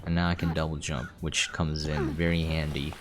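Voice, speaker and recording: A man's voice: extremely low pitch, little pitch movement, brisk. A man hosting a game preview with casual, off-the-cuff gameplay commentary. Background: game music.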